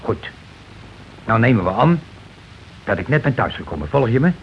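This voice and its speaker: An actor playing a bossy, calculating working-class husband in a Dutch radio play, blunt and argumentative in colloquial speech. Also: male voice, medium pitch, sing-song, normal speed. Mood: scheming. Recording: dull, noisy old radio recording.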